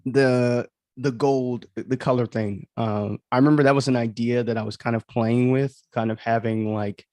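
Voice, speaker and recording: A man's voice: medium pitch, steady tone, normal speed, dark tone, dry recording, studio quality. A man giving casual livestream commentary on his own writing as its author, rambling and musing aloud in a self-deprecating way.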